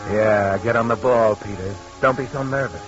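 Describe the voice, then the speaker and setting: An actor playing an ominous, taunting narrator of a 1940s radio suspense drama, with terse dramatic delivery. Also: male voice, lowish voice, moderate pace, lightly acted. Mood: taunting, intense. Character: ominous narrator taunting a guilty, nervous man. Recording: muffled vintage radio recording with loud music.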